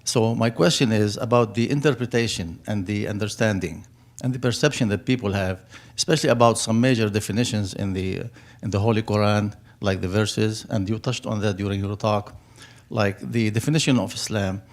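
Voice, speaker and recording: A man's voice: fairly low pitch, fairly even intonation, fairly quick, hardly any pauses. A man whose first language is Arabic, speaking with an Arabic accent, asking a long, earnest question from the audience and rephrasing as he goes. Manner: earnest, wordy, circling back to rephrase.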